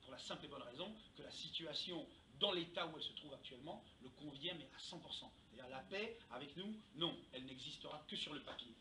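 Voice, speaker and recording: A man's voice: on the high side, expressive, quick pace, hardly any pauses, pushed and bright. A man with a Congolese accent giving an emphatic, rhetorical political speech in a didactic way. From Democratic Republic of the Congo. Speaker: political speaker, activist. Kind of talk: impassioned political speech to a gathering. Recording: distant, echoey room with background noise.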